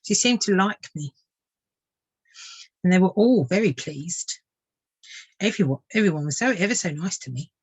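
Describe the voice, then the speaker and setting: A woman's voice: fairly low pitch, expressive, normal speed, pauses often, husky. A woman voicing an eager, naive male bird in a children's storybook, cheerful, polite and innocent. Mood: innocent, chatty.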